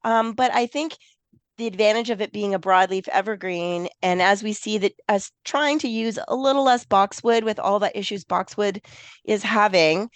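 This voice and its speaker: A woman with a froggy, hoarse voice from a cold, hosting a casual gardening podcast and thinking aloud as she talks. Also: medium pitch, normal speed, no room sound. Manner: chatty, thinks aloud with restarts. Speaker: podcast host, garden designer.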